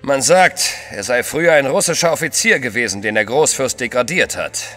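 An actor playing a loyal, deferential courier of the Tsar in a German audio drama. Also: male voice, mid-range voice, expressive, a little fast, bright, forceful tone, soft background music. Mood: earnest. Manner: deferential, earnest. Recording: long hall reverb.

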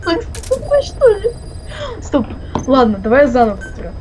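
High-voiced woman, insistent and teasing. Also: wildly swooping pitch, normal speed, noisy background. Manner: teasing, insistent, repeats herself. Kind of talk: casual chat while gaming.

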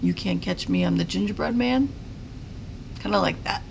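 A middle-aged woman, a teacher, chatting casually to young pupils in an animated, questioning way. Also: medium pitch, normal speed, creaky. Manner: animated, questioning, addressing children. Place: school classroom, indoors. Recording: very noisy classroom recording.

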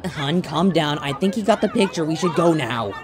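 A man playing an anxious, fussy, defensive little demon husband in an animated fan audio drama. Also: fairly high pitch, moderate pace, a crowd in the background, lightly acted. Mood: awkward, placating.

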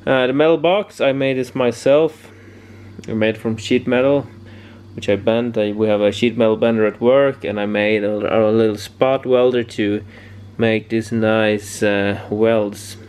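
A man giving a casual, unscripted hobby demonstration, haltingly searching for words, in a home workshop. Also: lowish voice, fairly even intonation, darkish tone. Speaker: amateur radio hobbyist presenting homebrew project. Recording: clear background noise, room ambience.

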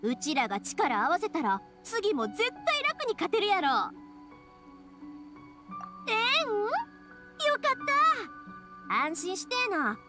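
Peppy confident anime game girl, kansai accent, super high and animated, music behind. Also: slow pace, long pauses, full character voice. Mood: confident, eager. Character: cheerful, confident young woman, fireworks-loving anime game heroine. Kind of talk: Japanese anime game voice acting. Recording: background music behind dialogue.

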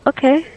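A woman playing a flustered, curious doctor on a date in an ASMR roleplay, stammering nervously and haltingly. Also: high-pitched, low-quality recording. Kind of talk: ASMR roleplay audio drama.

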